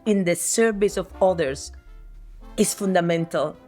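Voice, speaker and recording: A woman's voice: fairly low pitch, unhurried, mellow, faint music behind. A woman in her sixties with a Cuban Spanish accent, a Spanish speaker, talking earnestly in an interview and often restarting as she searches for words. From Cuba. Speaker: guest artist. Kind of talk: reflective interview talk.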